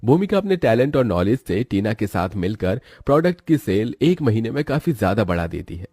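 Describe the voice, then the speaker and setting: Low, mellow male story narrator. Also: big pitch swings, a little fast, breathy edge, no echo, studio quality.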